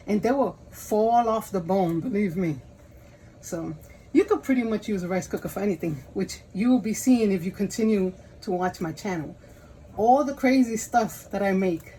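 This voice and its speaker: A woman's voice: medium pitch, mellow, a little hiss or hum, rough recording. A woman in her fifties with a Puerto Rican accent hosts a casual home cooking vlog, chatty and self-deprecating, laughing often as she cooks in her kitchen. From Puerto Rico. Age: 50s. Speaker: cooking channel host.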